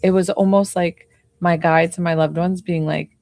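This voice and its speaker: A slightly hoarse woman in her forties talks softly and casually, peppering her speech with fillers. Her voice sits low with a gravelly fry. The sound is close and dry, with no room around it. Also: steady tone, moderate pace, dry recording. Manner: talks softly, chatty with many "like"s. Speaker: intuitive healer. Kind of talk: casual live-stream chat.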